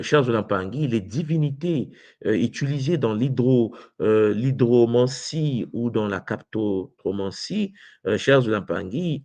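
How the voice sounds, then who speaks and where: A man's voice: mid-range voice, a little mellow, no echo. A man of Congolese origin teaching his followers in a spiritual lecture, often hesitating and stopping to check that listeners follow. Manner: frequent hesitations and rhetorical check-ins.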